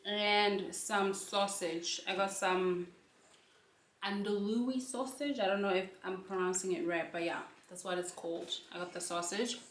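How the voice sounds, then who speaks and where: A young woman chats in a slow, unhurried way, talking to her viewers between bites. Her voice echoes around the room. The mic sits some distance from her. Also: mid-range voice, a little hiss or hum. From South Africa. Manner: chatty, talks to the camera between bites. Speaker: channel host. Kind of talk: casual chat while eating.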